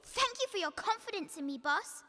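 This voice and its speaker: A woman's voice: very high voice, expressive, moderate pace, bright, forceful tone, full character voice. A young woman playing a naive, eager apprentice angel in a radio drama, chirpy and earnest. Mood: chirpy, confident. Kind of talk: stage-like radio drama acting. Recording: long hall-like reverb effect.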